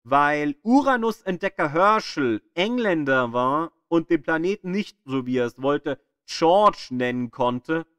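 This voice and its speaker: A man's voice: a little high, a bit bright, clear, resonant tone, no echo. Guy doing educational audio guide narration, takes his time a lot, really dry close recording.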